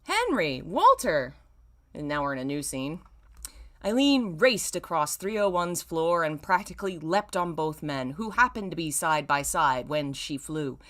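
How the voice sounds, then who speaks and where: A woman in her thirties with a low-pitched voice reads fiction aloud on a stream. She leans theatrically on the descriptive words, then drops into wry, self-mocking commentary. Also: sing-song, measured pace, a bit bright. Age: 30s. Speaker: streamer reading own old fan fiction. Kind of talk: live story reading with wry asides.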